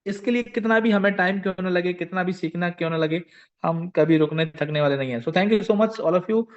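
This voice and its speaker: High, smooth-voiced man rallying a team. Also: moderate pace. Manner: rousing, exhorting, mixes English phrases. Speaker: online meeting host. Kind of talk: motivational sales meeting talk. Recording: dull lo-fi online call audio.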